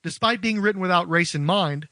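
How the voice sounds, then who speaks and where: A man's voice: high voice, fairly quick, bright, forceful tone, no echo, studio quality. A middle-aged man hosting a podcast, reading a scripted film review in wordy, literate phrasing. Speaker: podcast host.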